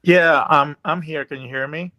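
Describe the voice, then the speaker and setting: A man's voice: a little high, fairly even intonation, moderate pace, bright, forceful tone. A middle-aged man with a Puerto Rican accent, a guest talking earnestly and rambling a little with restarts, heard over a video call. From Puerto Rico. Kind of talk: casual interview talk. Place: dim room at home. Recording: dull lo-fi video call audio.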